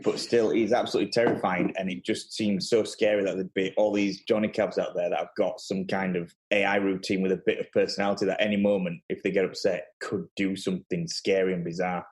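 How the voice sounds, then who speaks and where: A man's voice: low-pitched, little pitch movement, brisk, few pauses. A man chatting casually about films as a podcast guest. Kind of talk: casual film chat.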